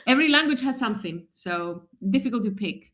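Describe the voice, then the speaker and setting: A woman's voice: fairly high pitch, a little fast, a little hiss or hum. Greek-born woman chatting as an interview guest. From Greece. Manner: animated, talks with fillers and restarts, laughs often. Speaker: guest, translator and travel writer. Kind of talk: conversational interview.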